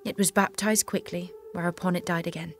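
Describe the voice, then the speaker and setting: A woman's voice: on the low side, steady tone, a little fast, slight background noise, close to an everyday voice. A woman acting in an audio drama as a devout, determined young warrior maiden, earnest and resolute. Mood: solemn, reverent.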